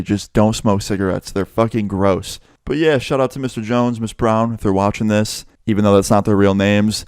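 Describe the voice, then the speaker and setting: A man's voice: medium pitch, a little mellow, clear, resonant tone, dry recording, studio quality. Laid-back young man telling a story. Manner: laid-back, swears often. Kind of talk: casual storytime.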